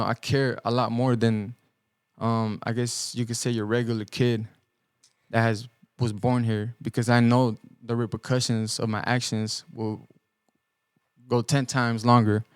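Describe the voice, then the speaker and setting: A young man born in El Salvador and raised in the US Midwest, a guest musician giving earnest, halting answers on a podcast, full of ums and restarts. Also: mid-range voice, clear, resonant tone, no room sound, clean studio sound. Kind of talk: reflective podcast interview answers. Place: podcast studio.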